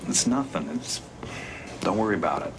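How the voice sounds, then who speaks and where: A man's voice: sits low, lively intonation, normal speed, noisy background. An actor playing a weary, guarded American convict in his thirties in a video game, terse and evasive. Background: background music.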